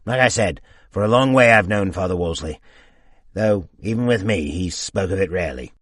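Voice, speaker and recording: A loyal, plain-spoken old cabbie, as a male narrator puts him on talks slowly and heartily, leaning hard on his words. His voice is loud and full. The recording is close and dry. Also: sits low, dry recording. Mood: earnest. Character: plain-spoken older cab driver, loyal and steady.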